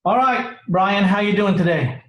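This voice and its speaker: A man's voice: high voice, normal speed, fairly bright tone. A man hosting a podcast in casual chat, joking and upbeat with his guest. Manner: joking, upbeat, reads ad spots. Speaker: podcast host. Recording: roomy echo.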